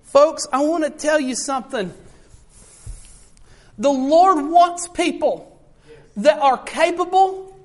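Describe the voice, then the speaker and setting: A man with a high voice preaching a sermon in an earnest, repetitive way, often calling out to his listeners. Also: expressive, slow, long pauses.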